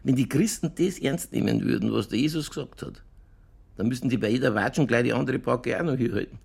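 An earthy Bavarian police detective in a radio crime drama. His voice is creaky and husky, and he holds forth with stubborn, provocative opinions in broad colloquial speech. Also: mid-range voice, fairly quick, a little mellow. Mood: opinionated.

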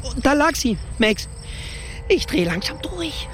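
A frantic, stammering doctor. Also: male voice, very high-pitched, very animated, measured pace, noisy background, exaggerated voice. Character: nervous male doctor sliding into panic. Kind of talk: radio drama acting.

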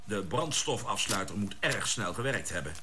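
An actor playing a composed, decisive spaceship commander who gives brisk orders in a science fiction radio drama. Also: male voice, lowish voice, very animated, noisy background. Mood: calm, businesslike.

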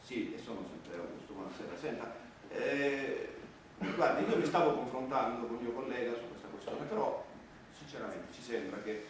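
A man's voice: mid-range voice, expressive, normal speed, lightly acted. Guy acting fussy flustered street artist man, stammers, self-important, comic stage play, super echoey and far off. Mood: flustered, indignant. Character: earnest, fussy, flustered male street performer with lofty pretensions. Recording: distant, echoey stage recording with noise.

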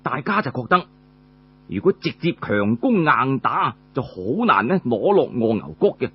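A man with a Cantonese accent telling a story in the traditional oral style, colloquially, with drawn-out particles between phrases. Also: mid-range voice, sing-song, breathy edge, dull audio.

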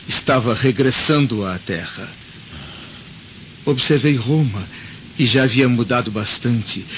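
Troubled Roman senator, middle register, grave and anguished radio-drama style, dull recording. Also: male voice, medium pitch. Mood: wistful, solemn. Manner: solemn, anguished, theatrical recounting. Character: troubled Roman senator recounting a haunting vision of past life. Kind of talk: dramatic radio play acting. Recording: dull lo-fi audio with loud storm noise.

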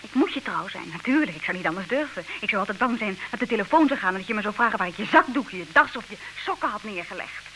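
An actress playing a proud, disillusioned wife in an old radio play, speaking in measured, reproachful, theatrical diction. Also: female voice, mid-range voice, lively intonation, a bit bright, lightly acted, low-quality recording. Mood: bitter. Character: disillusioned, proud wife leaving her clever husband. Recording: hissy old radio recording, clear noise.

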